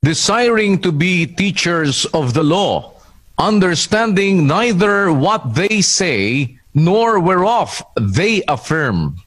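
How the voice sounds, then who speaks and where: A man reading Bible verses aloud in a measured way during a sermon. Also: sits high, big pitch swings, a bit bright. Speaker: Bible reader.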